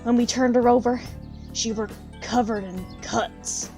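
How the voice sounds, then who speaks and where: A woman's voice: a little high, steady tone, unhurried, mellow, faint music behind, lightly acted. A woman playing a poor, plain-spoken country widow, bitter and unsentimental, in an audio drama. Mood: matter-of-fact.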